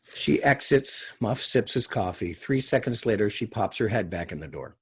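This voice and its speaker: Low, husky male script narrator. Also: expressive, normal speed. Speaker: narrator reading stage directions. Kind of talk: scripted narration of screenplay directions.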